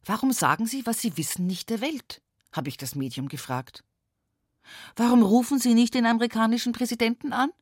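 An actress playing a devout, humorous Bavarian countrywoman looking back on her life in an intimate radio monologue, earthy and often breathy. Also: on the high side, fairly quick, darkish tone, no room sound, clean studio sound, natural voice. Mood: urgent, pleading. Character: rural Upper Palatinate woman, devout, humorous, wistfully reminiscing. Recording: music behind the voice. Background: music.

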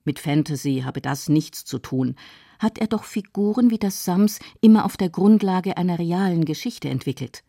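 A woman's voice: on the low side, lively intonation, moderate pace, gravelly, warm tone, dry recording, studio quality. A woman reading scripted narration for a radio feature.

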